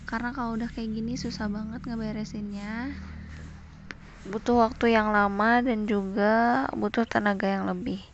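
A young woman from Indonesia giving a chatty, relaxed voice-over for her cleaning vlog, slipping in English phrases. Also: on the high side, normal speed, dull audio, a little hiss or hum. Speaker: vlogger. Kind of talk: casual voice-over. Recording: voice-over with background music.

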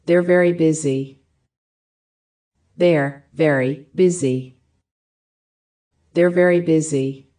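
A woman reading short English phrases for a language lesson, each one repeated with careful, even enunciation. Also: low voice, takes it slowly, pauses often. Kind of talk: slow repeated phrase drills.

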